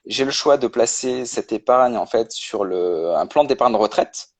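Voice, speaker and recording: A man's voice: mid-range voice, expressive, measured pace. A man, a guest on a live-stream interview, explaining step by step with many hesitations. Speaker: guest, personal finance creator.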